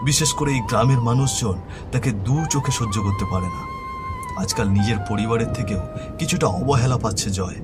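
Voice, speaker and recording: A man's voice: medium pitch, moderate pace, over loud music. A man narrating a scripted horror story in a solemn, earnest manner.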